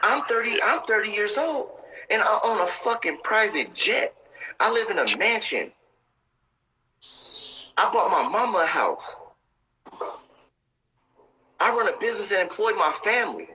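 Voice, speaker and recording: A man's voice: sits high, big pitch swings, measured pace, long pauses, bright, forceful tone, low-quality recording. A man in his thirties giving motivational talk on a live stream, intense and preachy, repeating his points for emphasis. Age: 30s.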